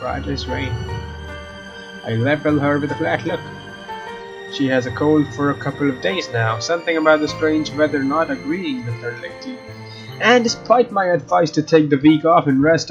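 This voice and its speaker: A man with a fairly high voice reads visual novel text aloud as a let's play host, in a casual, goofy way. The sound is a bit lo-fi, with faint game music behind him. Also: normal speed.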